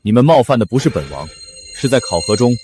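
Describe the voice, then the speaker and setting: A man's voice: mid-range voice, very animated, fast talker, darkish tone, soft background music, close to an everyday voice. An actor playing a commanding, righteous young prince in a costume drama, stern and formal in his speech. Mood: stern, measured. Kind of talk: costume short-drama acting.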